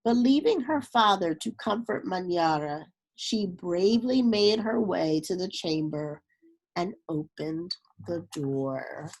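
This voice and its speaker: Female storybook reader, lowish pitch, unhurried and deliberate, for children's read-alouds. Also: lively intonation, a little mellow, rough recording.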